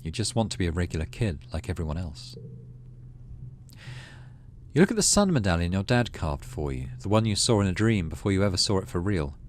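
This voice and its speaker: Casting: male let's play host, lowish pitch, animated delivery, storytelling plus casual remarks. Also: fairly quick, a little hiss or hum. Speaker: gaming channel host. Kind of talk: let's play narration, reading game text with casual commentary.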